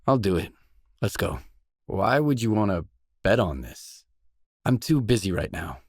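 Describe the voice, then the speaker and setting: An actor playing a terse, guarded mercenary in a video game, speaking scripted lines in a matter-of-fact way. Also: male voice, sits low, big pitch swings, a little slow, gravelly, slightly rough, no echo, clean studio sound, exaggerated voice.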